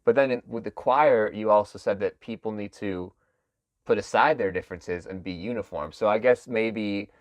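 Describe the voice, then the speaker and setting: A low-voiced man hosting a faith podcast who works through his questions aloud with plenty of fillers and restarts. His delivery is thoughtful and halting. The recording is dry and close. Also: dry recording. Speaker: podcast host. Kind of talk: interview conversation.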